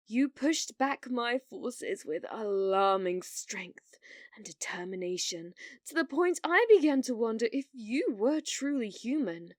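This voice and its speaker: A woman's voice: mid-range voice, dry recording, studio quality, close to an everyday voice. A woman playing a delusional, obsessive young woman who believes she is a reincarnated demon lord, speaking in grand, archaic phrases in an ASMR roleplay. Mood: wistful, smitten. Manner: grandiose, archaic, theatrical phrasing with flustered stammers.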